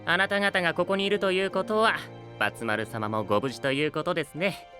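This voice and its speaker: An actor playing a calculating, snide rival who speaks politely with a needling edge in an anime voice drama. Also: male voice, a little high, fairly quick, pushed and bright, soft background music. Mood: brisk, exasperated.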